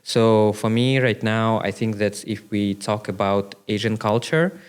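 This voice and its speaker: Hesitant man, low smooth voice. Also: unhurried. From Russia. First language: Russian. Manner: hesitant, many "uh" and "like" fillers, speaks in short bursts. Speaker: podcast guest, business owner. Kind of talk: casual interview conversation.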